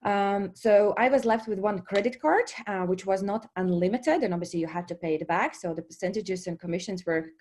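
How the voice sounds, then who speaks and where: A woman's voice: mid-range voice, brisk, few pauses, darkish tone, a little hiss or hum. A woman in her thirties from Latvia, speaking English with a Latvian accent, presenting to an online business audience with many fillers and restarts and frequent "okay?" check-ins.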